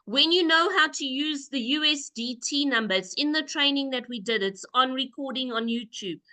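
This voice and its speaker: This woman has a high, bright voice and leads a group call. She explains patiently and leans on emphatic repetition to drive her points home. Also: normal speed. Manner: emphatic, repeats key points. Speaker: call host. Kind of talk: call presentation, explaining step by step.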